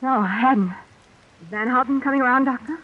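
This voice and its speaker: An actress playing a cool, quick-witted, composed woman in a 1940s radio suspense drama. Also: high voice. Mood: wry, composed. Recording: phone-like vintage radio broadcast.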